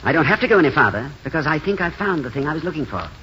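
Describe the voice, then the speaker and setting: Wistful, high-pitched romantic drifter. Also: male voice, very animated, rapid-fire, pushed and bright, slight background noise. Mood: tender, wistful. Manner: eloquent, theatrical, earnest. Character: wistful, fatalistic romantic male drifter, gently eloquent. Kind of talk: 1940s radio drama acting. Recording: muffled 1940s radio recording.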